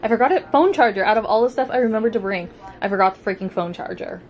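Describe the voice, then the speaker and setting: A woman's voice: medium pitch, expressive, fast talker, dull audio. A young woman in her twenties, chatting upbeat to a handheld camera as a vlogger, with lots of likes and ums. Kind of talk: casual vlog chat to camera. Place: hotel room, cafe and thrift store. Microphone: handheld camera mic.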